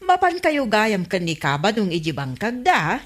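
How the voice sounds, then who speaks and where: A woman's voice: medium pitch, huge pitch swings, moderate pace. A woman with an Ilocano accent playing a curious, chatty village councilwoman in a comedy radio drama.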